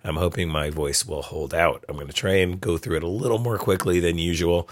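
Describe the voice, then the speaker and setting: A man hosting a solo podcast, sounding hoarse from a cold, talking casually with frequent ums. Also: low voice, brisk, no echo. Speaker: podcast host. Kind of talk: solo tech podcast talk.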